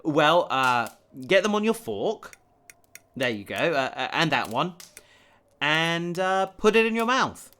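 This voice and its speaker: A man's voice: fairly high pitch, sing-song, takes it slowly, sharp tone, clear, resonant tone, full character voice. A man playing an earnest, determined human spacefarer in a science fiction audio drama, sincere and slightly awkward. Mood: patient, gentle.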